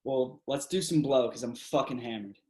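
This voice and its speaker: A man's voice: mid-range voice, moderate pace, darkish tone, natural voice. Man acting drunk young guy, echoey room. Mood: drunk, reckless. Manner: loose, crude, slangy. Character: drunk, reckless twenty-six-year-old party guy. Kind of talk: play reading over video call. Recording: echoey room on video call.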